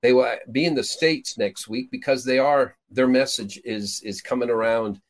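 A man's voice: mid-range voice, moderate pace. A middle-aged man raised in Peru, hosting a casual talk show about his ministry trip, rambling through many restarts and fillers. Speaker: prophetic minister and show host. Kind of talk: casual chat.

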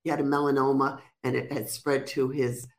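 A woman's voice: very deep voice, flat delivery, brisk. A woman from Rhode Island with a Rhode Island accent, speaking as a guest minister and giving an earnest testimony. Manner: earnest, declarative, repetitive phrasing. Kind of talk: testimony on a call-in interview.

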